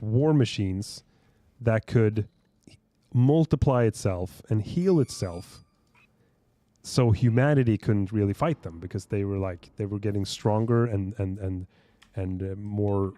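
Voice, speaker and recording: A man's voice: on the low side, dark tone, no room sound. A young man chatting on a livestream as host, rambling and reading out viewer questions. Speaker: stream host. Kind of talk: casual livestream chat.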